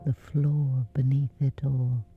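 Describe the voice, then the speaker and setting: A woman with a whispery voice, slowly and gently guiding a sleep meditation. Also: extremely low pitch, little pitch movement, narrow, tinny audio, soft background music. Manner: slow, soothing, unhurried. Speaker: meditation guide.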